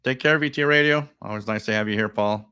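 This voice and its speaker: Male live-stream host, smooth and clear, dry close mic, rambling and musing, gets worked up over odd signals. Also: lowish voice, fast talker, pushed and bright, dry recording. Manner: musing, thinks aloud, repeats phrases. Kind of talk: casual live commentary.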